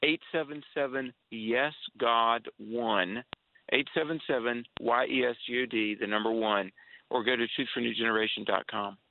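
A man's voice: mid-range voice, steady tone, normal speed, vocal fry. A man calling in as a guest on a radio show, eagerly promoting a youth camp. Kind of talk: phone-in radio chat. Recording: phone line.

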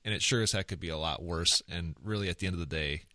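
A man's voice: deep voice, fast, pushed and bright, no room sound. A man with a Minnesota accent hosting an interview podcast, friendly and affirming, with frequent fillers. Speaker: podcast host.

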